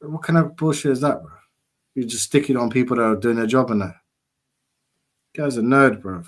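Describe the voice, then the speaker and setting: A man's voice: mid-range voice, normal speed. A man co-hosting a casual stream chat, blunt and argumentative with heavy slang. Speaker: co-host.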